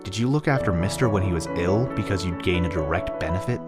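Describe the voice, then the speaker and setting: A man playing an easygoing, caring young man in an anime fan dub, reasoning gently in a conversational way. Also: sits low, animated, fast. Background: music.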